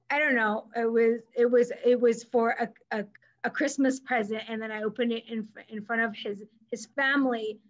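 Higher-voiced woman on a dull call line. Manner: chatty with light stuttering and restarts. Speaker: guest, speech therapist. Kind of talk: casual interview over video call. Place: small room indoors. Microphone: webcam mic on video call.

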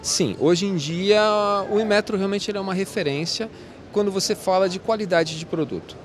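A man's voice: high voice, brisk. A man in his forties with a Brazilian Portuguese accent, a company representative describing products in a salesy way, recorded on a handheld mic in a large exhibition hall. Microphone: host's handheld mic.

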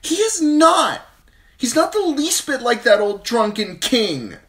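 A man voicing a lovestruck, headstrong young noble girl in an audiobook, high and breathless. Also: a little slow. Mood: furious. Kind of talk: audiobook character voice.

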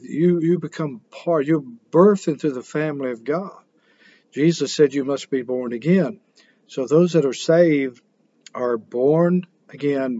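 A man's voice: mid-range voice, a little mellow, dull audio. A man, a pastor preaching earnestly to a camera at home with some fillers. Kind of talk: sermon. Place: small home room indoors.

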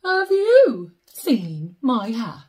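A woman voicing a big, polite, forlorn bear, with earnest, drawn-out questions, in a children's picture book. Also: a little high, huge pitch swings, darkish tone, full character voice. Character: big polite forlorn bear searching for his lost hat.

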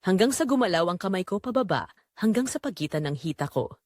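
A woman's voice: mid-range voice, expressive, brisk, a little mellow, no room sound, clean studio sound. A woman narrating a scripted first-person audio drama in short, dramatic, suggestive phrases.

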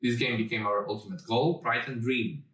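A man's voice: medium pitch, fairly even intonation, normal speed, fairly bright tone. A man in his thirties, a game creative director, giving a scripted presentation to camera. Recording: roomy, distant from mic.

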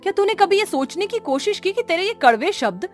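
A woman's voice: very high voice, big pitch swings, brisk, soft background music. A woman voicing a caring, worried mother in a cartoon, earnest and admonishing.

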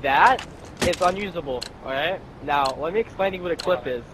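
A man's voice: on the high side, fairly quick. A young man in casual gaming chat, insistent and repeating himself as he explains. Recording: game voice chat, loud crowd noise.

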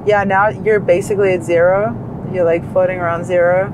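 A woman's voice: lowish voice, fairly quick. A woman in her thirties chatting casually as a vlog co-host, narrating a road trip from the passenger seat. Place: inside a moving car. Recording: steady road and cabin noise.

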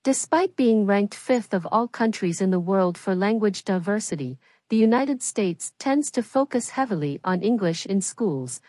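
A woman's voice: medium pitch, lively intonation, moderate pace, darkish tone, dry recording. Woman reading aloud, very dry sound. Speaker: model answer reader for an English test. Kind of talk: scripted read-aloud of practice passages.

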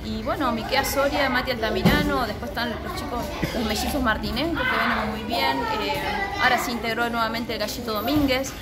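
A woman. She answers interview questions as a coach in long, loosely joined phrases, into a handheld mic inside a gym, with loud music playing behind her. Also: on the high side, steady tone, fairly quick, hardly any pauses, a loud crowd. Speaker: boxing coach and gym representative being interviewed. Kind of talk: casual on-camera interview. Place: indoor martial arts gym with children training. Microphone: handheld reporter microphone.

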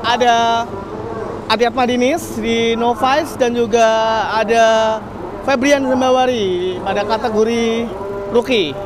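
A man giving a brisk walking tour of a race paddock, listing riders and their teams. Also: very high voice. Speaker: paddock reporter. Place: outdoor race paddock tents. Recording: loud engine noise outdoors.

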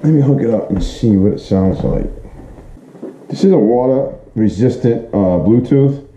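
A man's voice: medium pitch, normal speed, mellow. A middle-aged man giving a casual, plain-spoken product walkthrough in informal, colloquial language, as a vlogger. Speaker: vlogger showing motorcycle gear. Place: home kitchen, indoors. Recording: muffled, boxy room echo, noticeable hiss.

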